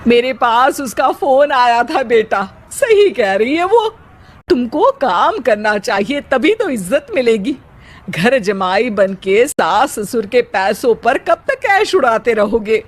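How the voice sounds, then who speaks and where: A concerned cartoon mother performed by a woman talks to her grown son. She is slow-spoken and admonishing, in a motherly way. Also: fairly high pitch, sing-song, exaggerated voice. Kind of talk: cartoon story acting.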